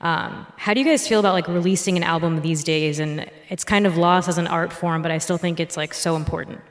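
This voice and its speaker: A woman's voice: on the low side, fairly even intonation, a little fast. A woman interviewer asking questions in a casual, chatty style. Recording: long reverb with music behind. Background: music.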